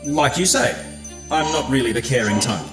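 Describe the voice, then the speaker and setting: A man's voice: mid-range voice, lively intonation, normal speed, echoey room, music in the background, natural voice. An actor playing a blunt, impatient man made of tin in an audio drama, with a dry, sarcastic manner. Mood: sardonic.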